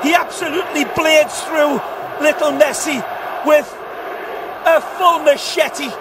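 A man shouting colourful live football commentary. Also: extremely high pitch, fairly even intonation, slow pace, crowd noise. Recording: distant, noisy stadium broadcast clip.

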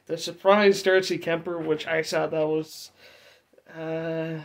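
A young man giving a casual game recap from notes, speaking haltingly and stumbling over names and figures. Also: on the high side, little pitch movement. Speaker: hockey fan vlogger. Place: dim bedroom, seated at desk.

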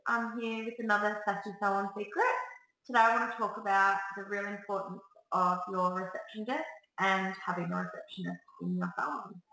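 A woman's voice: mid-range voice, fairly even intonation, fairly quick, pushed and bright. A woman in her thirties, a salon owner, giving chatty, upbeat business tips straight to a webcam in a small salon room. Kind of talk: direct-to-camera business advice. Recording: echoey room.

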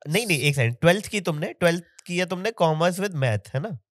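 A man's voice: fairly high pitch, expressive, fairly quick, no echo, studio quality. A man, hosting a casual podcast interview, teasing playfully and laughing often. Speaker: podcast host. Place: small indoor podcast studio. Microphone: studio mic on boom arm.

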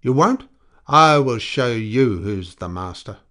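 A man voicing a furious, insolent colonial soldier in a storybook, snarling and mocking in shouted outbursts. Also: on the low side, lively intonation, moderate pace. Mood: raging. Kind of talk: dramatic storybook character reading.

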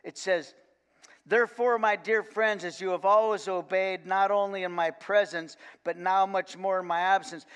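An older man preaching a sermon in a folksy, animated way, full of repeated words and "okay?" asides. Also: high voice. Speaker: pastor. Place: large church sanctuary, indoors. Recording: long reverb of a church hall.

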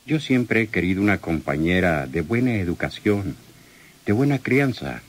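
Low-voiced, possessive crime boss. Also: male voice. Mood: self-satisfied, courting. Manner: condescending, smooth-talking, domineering. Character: menacing, possessive male crime boss, self-satisfied suitor. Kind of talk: old radio soap opera acting.

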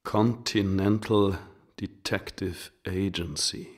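A gruff rural deputy in a radio crime drama. His voice is on the low side. He is curt and doubtful, putting things bluntly and without hurry. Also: fairly even intonation, takes it slowly. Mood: gruff, skeptical. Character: gruff small-town deputy sheriff, unhurried, skeptical.

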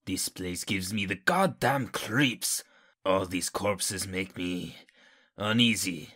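An actor playing a grumbling, uneasy, skeptical henchman with a raspy voice in an audio drama. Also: low-pitched, slow pace, a bit bright, full character voice.

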